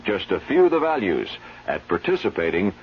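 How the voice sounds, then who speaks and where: A man's voice: on the low side, big pitch swings, fairly quick, slightly rough. A man delivering a scripted 1970s radio commercial as a spokesman, on a muffled, hissy broadcast.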